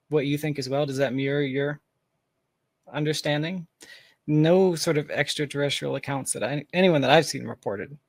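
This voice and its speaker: A man with a mid-pitched voice talks as a guest in a casual discussion. He reasons things through aloud, circling back with qualifications and asides. The sound is close and dry. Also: creaky, dry recording. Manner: reflective, hedging, reasons aloud in long asides. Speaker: podcast guest, tech founder.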